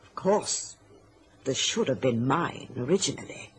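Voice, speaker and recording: A woman's voice: a bass voice, animated, measured pace, slightly rough, heavily acted. An actress playing a bitter, scheming, self-pitying middle-aged mother in an old radio drama, with an indignant, martyred, theatrical manner. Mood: bitter, self-justifying.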